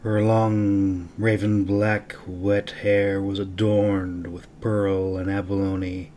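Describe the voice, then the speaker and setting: A man narrating a fantasy audiobook in a dramatic, expressive, deliberate storytelling style. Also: sits low, slight background noise.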